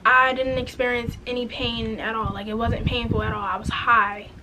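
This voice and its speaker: Chatty raspy young woman vlogging. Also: fairly high pitch, little pitch movement, normal speed, background noise. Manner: chatty, frank, many "like" and "um" fillers. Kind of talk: casual story-time vlog. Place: indoors, plain light wall, small room. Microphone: camera in front, head and shoulders.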